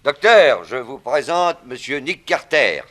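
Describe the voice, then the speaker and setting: A man's voice: mid-range voice, big pitch swings, measured pace, fairly bright tone. A man playing a genial, resigned Southern sheriff in a 1960s French radio drama, affable and courteous. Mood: brisk.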